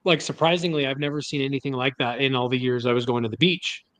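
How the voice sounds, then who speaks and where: A man's voice: medium pitch, fairly even intonation, fast talker, fairly bright tone. A man from Northern California, laid-back and curious, chatting casually on a business call.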